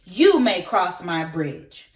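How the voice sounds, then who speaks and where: A woman voicing a gruff, greedy, bullying male troll who bellows and blusters, for a storybook. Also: lowish voice, sing-song, slow, voice pushed down. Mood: grudging. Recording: echoey room.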